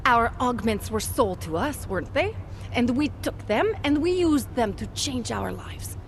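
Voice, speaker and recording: A high-pitched, very animated female corporate recruiter in a video game. She is an idealistic true believer who pitches with fervent, polished conviction. Light ambient noise sits behind her. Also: unhurried. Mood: persuasive, insistent. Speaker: company representative. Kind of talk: video game dialogue acting.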